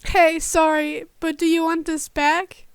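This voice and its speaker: A woman's voice: very high voice, level tone, a little slow, no echo, clean studio sound. A woman voicing a bewildered, apologetic young man in a fan fiction reading, speaking emphatically. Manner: confused, emphatic.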